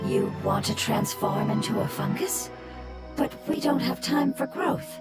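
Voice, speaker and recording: A woman voicing an ancient, serene elemental spirit creature, breathy, formal and grave, in an anime-style video game. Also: fairly high pitch, measured pace, lightly acted. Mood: cautioning. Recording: loud music behind.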